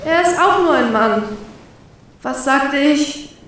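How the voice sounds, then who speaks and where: A flustered young woman's inner voice, high and drawn out, swearing softly to herself, as a woman reads her thoughts aloud. It rings with a heavy echo, as if heard from far off. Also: animated, takes it slowly, slight background noise, heavily acted. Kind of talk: inner thoughts in a fan fiction reading. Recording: very echoey, from far off.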